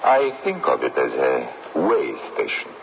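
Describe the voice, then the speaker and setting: Very low gravelly radio-play dad, courteous host to the dead, swings up and down a lot, dull old broadcast. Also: male voice, huge pitch swings, a little hiss or hum, heavily acted. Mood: courteous, measured. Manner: courteous, measured, formal. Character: gentle, courteous father, gravedigger hosting dead guests. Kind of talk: old-time radio drama acting.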